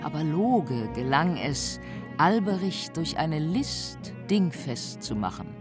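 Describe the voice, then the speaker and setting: A man narrating a scripted fantasy radio play as a measured storyteller. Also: fairly high pitch, big pitch swings. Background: music.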